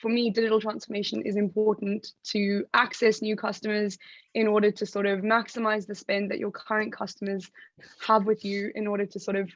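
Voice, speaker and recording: A South African woman with a hoarse, raspy voice, speaking on a panel over a video call with frequent restarts. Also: mid-range voice, little pitch movement, brisk, no echo. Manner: frequent restarts and fillers, conversational. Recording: dull lo-fi video call audio.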